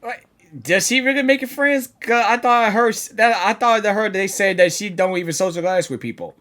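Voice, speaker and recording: A woman livestreamer giving casual, excitable, gushing reactions to a game in slangy speech. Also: medium pitch, normal speed. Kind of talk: casual live reaction commentary. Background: game audio and music.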